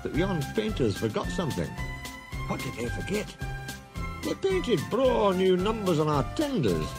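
A cheeky Scottish steam engine from a children's story. He is proud and canny, bantering in broad dialect. His pitch swings widely and jumps up high, with music behind him. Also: wildly swooping pitch, unhurried, heavily acted. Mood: sly, cheeky.

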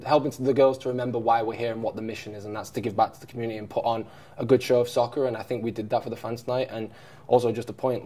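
A man's voice: mid-range voice, mellow. A man in his thirties, a head coach reflecting on a match at a press conference, with many fillers and restarts. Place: press room in front of a sponsor backdrop.

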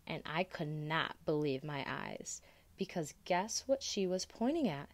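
Slow, animated woman reading a storybook. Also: low voice, no room sound. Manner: expressive storytelling. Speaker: picture-book reader. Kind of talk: children's story read-aloud.

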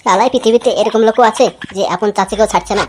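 A woman acts out a gossipy young village sidekick in a cartoon. The voice is fairly high and extremely quick, on a noisy track. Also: natural voice. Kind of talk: comic Bengali village cartoon dub.

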